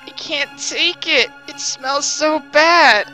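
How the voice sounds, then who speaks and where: Apologetic ghost mistress for a story reading: bright, high, whiny and distressed. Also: female voice, slow pace, soft background music, heavily acted.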